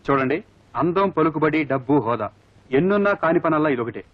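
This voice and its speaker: An actor playing a glib, jovial odd-job fixer in a film comedy. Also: male voice, a little high, expressive, moderate pace, no room sound. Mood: amused, exasperated. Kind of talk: Telugu film comedy acting. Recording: dull, lo-fi film soundtrack.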